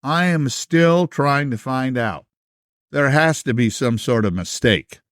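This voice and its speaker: A man playing an anxious, theatrical history book puppet in a stage puppet musical, with melodramatic, drawn-out delivery. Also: mid-range voice, a bit bright, no room sound, studio quality. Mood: dismayed, grave. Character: dramatic, anxious old-fashioned history book puppet, male.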